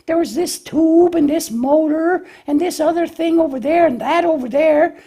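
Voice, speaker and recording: Squeaky, naive little lamb puppet. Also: male voice, normal speed, mellow. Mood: puzzled. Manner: hesitant, folksy, childlike explaining. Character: naive, eager, bumbling young lamb puppet. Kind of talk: children's puppet show dialogue.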